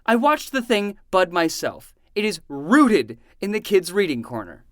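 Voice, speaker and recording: Woman as exasperated, low-pitched male librarian. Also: big pitch swings, measured pace, dry recording, studio quality. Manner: exasperated, sarcastic, ranting. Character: exasperated, sarcastic male librarian, grown adult. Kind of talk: fiction podcast acting.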